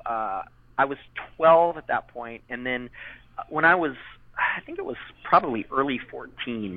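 A man's voice: medium pitch, dry recording. A man who voiced a character as a child, chatting in an interview and rambling with many fillers and restarts. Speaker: interview guest, former child voice actor. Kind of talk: casual interview chat. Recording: tinny phone-line sound.

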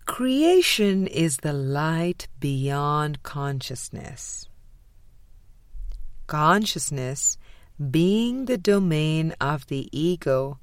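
A woman narrating a spiritual audiobook in a measured, deliberate way with frequent pauses. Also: deep voice, very animated, no echo. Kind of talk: scripted spiritual book reading.

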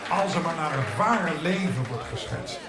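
An actor playing a fervent, idealistic playwright declaiming in a Dutch radio drama. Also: male voice, on the high side, lively intonation, normal speed, natural voice. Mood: impassioned. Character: fervent idealistic playwright preaching truth in art. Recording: echoey room with crowd noise.

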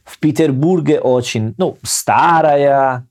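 Italian guy, heavy italian accent, pauses a lot, mid pitch, just chatting. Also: a little mellow. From southern Italy. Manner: hesitant, halting non-native phrasing. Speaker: guest. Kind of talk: casual conversation.

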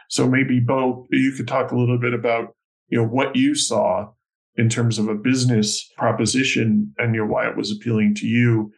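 A man's voice: lowish voice, gravelly, low-quality recording. A man hosting a conversational business interview, asking questions as the interviewer. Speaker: interviewer, host.

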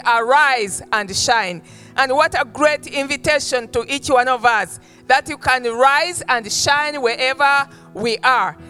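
An elderly woman, speaking in church as a missionary guest. She talks slowly and earnestly, stopping often to praise. Her voice is high and bright, with soft music behind it. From Uganda. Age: 70s. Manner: slow, warm, earnest testimony with praise exclamations. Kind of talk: sermon greeting. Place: church stage.

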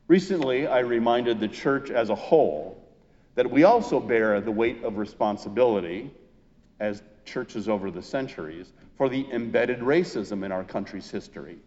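A man preaching a sermon as a pastor, speaking in measured, emphatic phrases with deliberate pauses. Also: mid-range voice, darkish tone. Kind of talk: Easter sermon, reading and expounding scripture. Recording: muffled, reverberant church hall sound.

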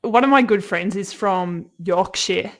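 An Australian woman hosting a podcast, talking in an animated, casual way and laughing a lot. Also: medium pitch, unhurried. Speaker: podcast host. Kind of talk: casual interview chat.

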